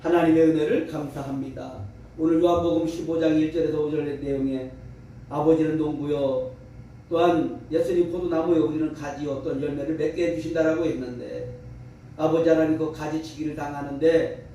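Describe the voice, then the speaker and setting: A man's voice: a little high, fairly even intonation, a little fast, dark tone. A man preaching and praying at a revival meeting in an emphatic, exhorting manner. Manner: emphatic, exhorting, with rhetorical questions. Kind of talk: fervent revival sermon and prayer. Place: large echoey room at a revival meeting. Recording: very echoey, distant mic, faint noise.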